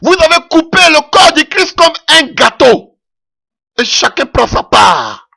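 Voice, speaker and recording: A man's voice: very high voice, big pitch swings, measured pace, gravelly, bright, forceful tone. A man preaching a sermon in French that is not his mother tongue, impassioned and punctuating his points with "Alléluia".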